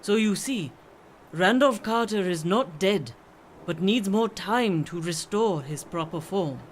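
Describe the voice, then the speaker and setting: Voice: medium pitch, animated, measured pace, a little hiss or hum, lightly acted. An actor in a radio play playing a mysterious veiled swami who tells strange tales in a grave, formal, portentous manner. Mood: grave, cryptic.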